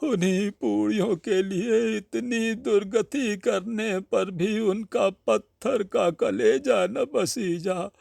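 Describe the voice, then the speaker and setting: A man's voice: sits high, gravelly, a little mellow, dry recording, studio quality, voice pushed up, exaggerated voice. A man voicing a hungry, self-pitying blind old aunt in a Hindi storybook tale, with a quavering, plaintive manner. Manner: slow, plaintive lamenting.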